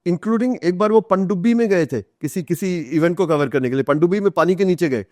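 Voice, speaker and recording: A man's voice: high-pitched, a little fast, a little mellow, no room sound, clean studio sound. A man with an Indian accent tells stories in an interview, switching between Hindi and English with emphatic repetition. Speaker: author, podcast guest. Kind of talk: conversational storytelling in an interview.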